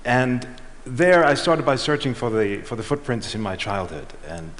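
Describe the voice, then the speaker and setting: A middle-aged man giving a prepared talk on stage, telling a measured story and letting his lines trail off. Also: mid-range voice, a bit bright. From Switzerland and Ghana. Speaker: speaker. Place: large auditorium. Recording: reverberant hall.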